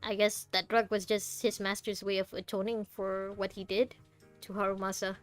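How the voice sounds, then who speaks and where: A woman streamer with a mid-pitched voice chats casually through a game. She is playful and teasing, reading text aloud at times, with soft game music underneath. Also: flat delivery, moderate pace. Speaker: streamer playing a game blind.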